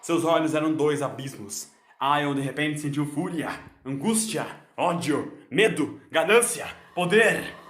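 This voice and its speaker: A man's voice: on the high side, a little slow, fairly bright tone. Theatrical male narrator with heavy echo. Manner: theatrical, solemn. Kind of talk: scripted dramatic story narration. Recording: echoing voice over music.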